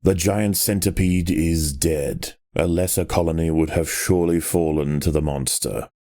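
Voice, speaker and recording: A man delivering scripted nature documentary style narration for a video game, in a measured, solemn and dramatic way. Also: extremely low pitch, warm tone, dry recording, clean studio sound.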